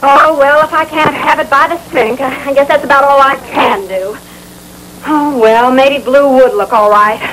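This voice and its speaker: An American actress playing a fussy, sharp-tongued housewife customer, haughty and scolding in an old training film. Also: female voice, high voice, fairly even intonation, normal speed. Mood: grudging. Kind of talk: 1960s training film acting. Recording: noisy old film soundtrack.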